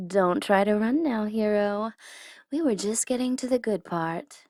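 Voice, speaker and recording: A woman's voice: medium pitch, a little slow, darkish tone, no room sound, studio quality, natural voice. A woman playing a smug tsundere supervillain in an ASMR audio roleplay, taunting and teasing theatrically, at times in a whisper. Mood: mocking, smug. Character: smug tsundere female supervillain gloating over her captive hero.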